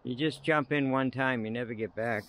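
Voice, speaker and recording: A man's voice: mid-range voice, normal speed, a bit bright, a little hiss or hum. A middle-aged American man giving casual, wry, folksy walking-tour commentary as a vlogger on a handheld camera outdoors. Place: outdoors in an overgrown field. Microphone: handheld action camera.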